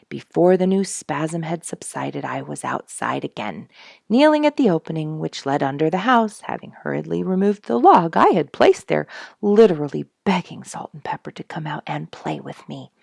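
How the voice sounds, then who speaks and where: Lady reading a nature storybook out loud, really gravelly low voice, expressive, kinda dramatic on key words. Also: no echo, clean studio sound. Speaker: storyteller reading a book aloud. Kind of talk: expressive narrated nature memoir for a family audience.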